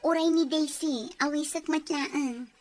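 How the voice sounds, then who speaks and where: A woman's voice: high voice, steady tone, a little slow, a little mellow, a little hiss or hum. A woman playing a cheerful, generous married friend in an audio drama, chatty, with an Ilocano accent. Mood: casual, agreeable. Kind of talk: acted family radio-style drama dialogue.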